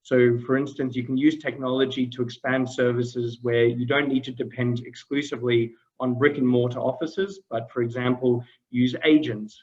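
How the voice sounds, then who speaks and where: A man's voice: mid-range voice, level tone, moderate pace, few pauses, darkish tone, lo-fi sound. A man from Australia, giving a hesitant explanatory answer on a webinar, often repeating words. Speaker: webinar panelist.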